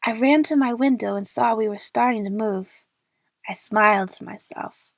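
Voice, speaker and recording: A woman's voice: on the high side, normal speed, vocal fry, dry recording, studio quality. A young woman playing an eager, chatty movie fan who narrates her adventure in the first person for an audio drama. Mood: reflective narration.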